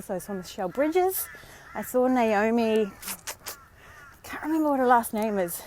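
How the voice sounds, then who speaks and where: An Australian woman vlogging live on a walk outdoors, slightly hoarse from a sore throat, talking in a chatty, encouraging way with many fillers. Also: a little high, darkish tone, slight background noise. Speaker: vlogger and dating coach. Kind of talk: casual live-stream talk.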